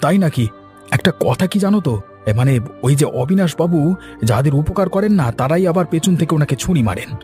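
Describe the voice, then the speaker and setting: Man acting an excitable village husband. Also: fairly high pitch, fast, soft background music, natural voice. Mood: agitated, earnest. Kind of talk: Bengali cartoon voice acting.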